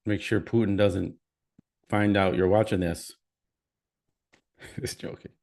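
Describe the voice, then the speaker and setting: A man with a Canadian accent talks casually as he hosts a live stream, reading viewer comments aloud. His voice sits low and has a gravelly, fry-edged texture. He keeps an easy, conversational flow. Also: steady tone, slow pace, darkish tone. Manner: chatty, reads out viewer comments. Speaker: livestream host.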